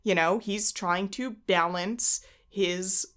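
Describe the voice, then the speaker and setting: A woman's voice: mid-range voice, slow, a bit bright. Woman, casual podcast co-host with a chatty manner and a mid-range voice. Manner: chatty, quotes imagined players, many fillers. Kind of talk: casual podcast chat about tabletop games.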